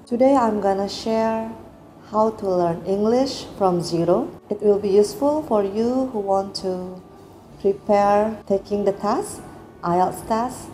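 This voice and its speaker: A woman's voice: mid-range voice, takes it slowly, mellow, a little hiss or hum. A woman in her thirties from Indonesia with an Indonesian accent, hosting a channel and teaching English in a friendly, anecdotal way. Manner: friendly, anecdotal, with easy laughs and restarts. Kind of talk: casual teaching talk.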